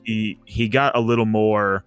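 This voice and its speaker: A young man telling a casual personal story as a guest, halting and repeating words as he quotes dialogue. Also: lowish voice, fairly even intonation, a bit bright, clear, resonant tone. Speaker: livestream guest. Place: online voice chat on a livestream. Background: music playing behind the voice.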